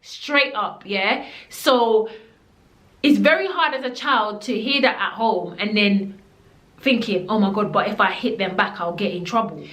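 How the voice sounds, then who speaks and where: A woman's voice: medium pitch, unhurried, a bit bright, echoey room, poor audio. A young woman from Jamaica with a Jamaican accent, telling a blunt, emphatic storytime to camera and slipping into patois. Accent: Jamaican, living in the UK. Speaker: vlogger. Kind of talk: storytime vlog.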